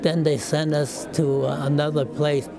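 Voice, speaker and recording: A man in his nineties, a war veteran, recalling the past haltingly, with many restarts and chuckles. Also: fairly high pitch, little pitch movement, crowd noise. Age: 90s. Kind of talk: casual reminiscing.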